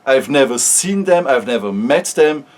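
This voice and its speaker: A man's voice: medium pitch, expressive, normal speed. A Swiss German-speaking man with a Swiss accent, talking frankly in a casual vlog with many restarts. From Switzerland. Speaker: maker sharing experience.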